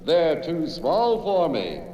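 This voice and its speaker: A man's voice: a little high, huge pitch swings, slow pace, warm tone, exaggerated voice. An actor playing a vain, boastful male tiger in a storybook record, theatrical and sing-song. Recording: echoey, dull old record from a distance.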